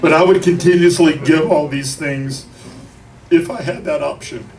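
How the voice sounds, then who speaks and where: A grieving man delivers an emotional eulogy to a crowd, speaking deliberately, with profanity and wry humor. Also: on the high side. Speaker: eulogist at a memorial. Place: crowded bar at a memorial. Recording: noisy live room, distant mic.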